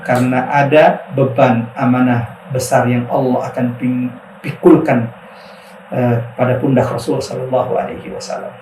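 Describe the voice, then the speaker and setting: A man with a Makassar accent speaks as a guest Islamic preacher on a podcast. He tells his story in an unhurried, earnest way, and his voice sits in a middling pitch. At times he turns to forceful religious exhortation. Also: level tone, slight background noise, rough recording. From South Sulawesi, Indonesia.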